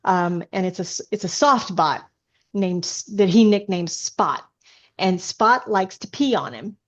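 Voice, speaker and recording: A woman's voice: mid-range voice, a little slow. A woman science fiction author chatting casually as a podcast guest, often breaking off and restarting with enthusiasm. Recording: dull, lo-fi sound.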